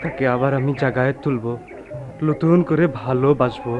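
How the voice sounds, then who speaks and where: A man's voice: medium pitch, normal speed, close to an everyday voice. A man acting a tender, pleading rustic hill lover with a regional Bengali dialect in a radio drama. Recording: dull lo-fi recording with music behind.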